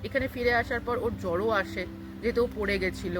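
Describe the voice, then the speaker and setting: A woman's voice: mid-range voice, a little fast, background noise. A woman tells a personal story informally, mixing in English words. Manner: mixes Bengali with English words. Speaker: guest sharing a personal ghost story. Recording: music behind the voice. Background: music.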